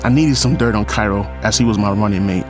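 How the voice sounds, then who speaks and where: A man's voice: fairly low pitch, fast talker, close to an everyday voice. A man playing a lonely, wry, middle-aged police captain who narrates his story in an audio drama. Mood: scheming. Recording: faint music behind the voice.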